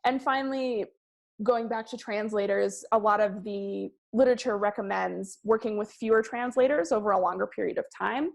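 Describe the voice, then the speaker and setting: Woman presenting in a webinar, bit of vocal fry, lots of ums, slows down on the key points. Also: medium pitch. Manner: measured, slows to stress key words, frequent ums. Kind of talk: presentation to a class.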